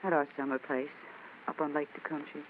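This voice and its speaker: A woman's voice: low-pitched, steady tone, a little fast, natural voice. An actress playing a frightened young woman seeking a detective's help, earnest and anxious, in 1940s radio acting style. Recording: tinny old radio recording, faint hiss.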